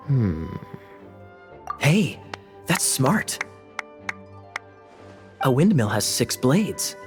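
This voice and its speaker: A man voicing a suave, teasing young knight in a game, smooth and wry, thinking aloud with dry amusement. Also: mid-range voice. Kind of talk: game dialogue voice acting. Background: faint game music.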